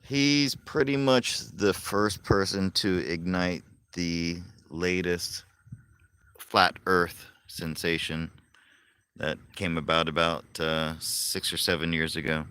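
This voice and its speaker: A man's voice: sits low, fairly even intonation, takes it slowly, pushed and bright, smooth. A man from Florida hosting a casual live chat outdoors, rambling and chuckling as he talks with viewers. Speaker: livestream host. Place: outdoors at night.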